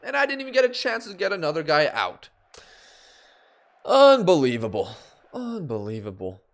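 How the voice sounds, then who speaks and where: A man's voice: fairly high pitch, wildly swooping pitch, normal speed. A young man from Canada, chatting on a live game stream and reacting aloud to results.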